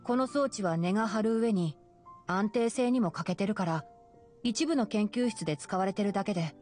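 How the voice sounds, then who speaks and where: A woman voicing a calm, knowledgeable young male scholar in an anime game, speaking in a composed, explanatory way. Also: mid-range voice, normal speed, lightly acted. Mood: calm, explaining. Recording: game music under the voice. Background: game music.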